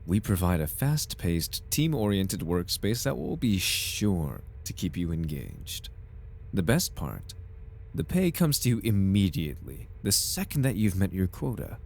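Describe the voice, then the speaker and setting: Guy doing a smooth talking corporate recruiter for asmr roleplay, formal and oily salesman, deepish voice, really lilts up and down. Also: big pitch swings, unhurried, a little mellow, full character voice. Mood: welcoming, smooth. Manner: formal, unctuous, theatrical salesman's patter. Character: suave, over-polite male corporate recruiter, slyly upbeat salesman. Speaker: voice actor in an audio roleplay. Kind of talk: ASMR roleplay, scripted in-character monologue.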